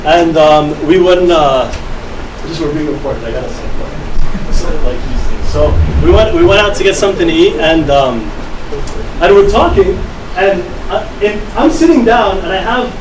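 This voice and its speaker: A man's voice: high-pitched, expressive, moderate pace. A man born and raised in the US gives a casual talk to a live audience, speaking conversationally and tagging his lines with a rhetorical "right?". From United States. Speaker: youth speaker. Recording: echoey room recording from a distance with air-conditioning hum.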